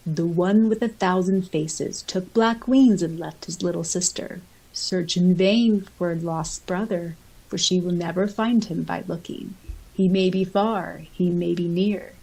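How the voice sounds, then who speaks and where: A woman's voice: lowish voice, creaky, dark tone, slightly rough, poor audio. A woman co-hosting a podcast, chatting informally and reading comments aloud with enthusiasm. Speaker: podcast co-host. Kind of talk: casual podcast chat, reading out listener comments.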